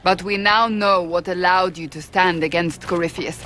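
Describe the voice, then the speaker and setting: An actress playing an earnest, resolute warrior adviser in a fantasy video game, speaking in a solemn, measured way. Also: female voice, fairly low pitch, fairly even intonation, a bit bright, a little hiss or hum, close to an everyday voice. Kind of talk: dramatic video game acting. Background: game music.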